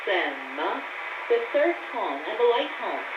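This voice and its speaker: Heavy static and a muffled band surround a woman teaching a language on shortwave radio. Her voice is fairly high and she explains step by step in a scripted lesson style. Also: sing-song, a little slow, reverberant. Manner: clear didactic explaining. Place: radio studio, heard over shortwave.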